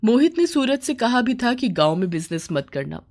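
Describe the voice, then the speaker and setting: A woman's voice: fairly high pitch, very animated, normal speed, a little mellow. A woman storyteller reading a scripted moral bedtime story.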